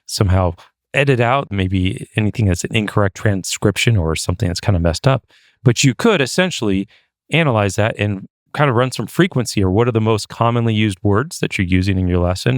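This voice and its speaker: A chatty man with a low voice co-hosts a podcast, talking loosely and dropping in fillers as he goes. His voice sounds close and dry, with no room echo. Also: expressive, a little fast. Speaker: podcast co-host. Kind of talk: casual podcast chat.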